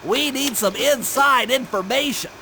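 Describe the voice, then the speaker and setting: A man's voice: extremely high pitch, a little slow, sharp tone, a little hiss or hum, exaggerated voice. A man voicing a brainy, fussy young turtle gadget expert with precise, bookish speech in a cartoon game. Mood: earnest, commanding. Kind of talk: cartoon video game briefing. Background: music.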